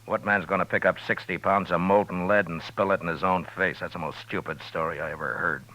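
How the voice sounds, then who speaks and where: An American actor playing a terse, skeptical, world-weary frontier marshal in a 1950s radio drama, with a dry, probing manner. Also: male voice, low-pitched, fairly even intonation, a little fast, bright, forceful tone. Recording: noisy vintage 1950s radio recording.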